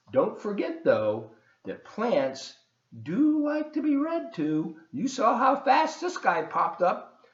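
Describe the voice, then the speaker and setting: A man reading aloud to children as a volunteer storyteller, expressive and encouraging, with chatty asides. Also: high voice, reverberant, muffled recording.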